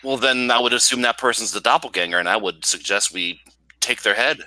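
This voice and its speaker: A man's voice: medium pitch, fairly even intonation, fairly quick, bright, forceful tone, no echo, low-quality recording. A man playing a tabletop game, earnestly laying out a plan to the table. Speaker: player.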